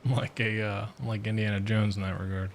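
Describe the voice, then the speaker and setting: A man's voice: fairly low pitch, level tone, fast talker, creaky, faint music behind. A man streaming a game live with casual, rambling, jokey commentary in a laid-back way.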